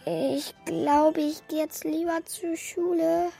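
An actor playing a lively, naive and cheeky little wooden puppet boy in a children's radio play, with a childlike, questioning way of talking. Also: very high-pitched, slow pace, creaky, soft background music, heavily acted. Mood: curious, hesitant.

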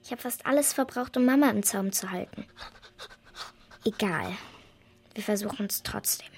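An actress playing an eager, scheming young girl in a children's radio drama, excitable, insistent and pleading. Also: medium pitch, moderate pace, faint music behind, natural voice. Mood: determined.

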